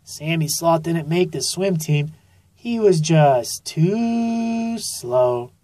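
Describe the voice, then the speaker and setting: A woman narrating a children's story expressively and deliberately, drawing out key phrases, recorded in a studio. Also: low voice, animated, warm tone, dry recording. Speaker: author reading own children's book.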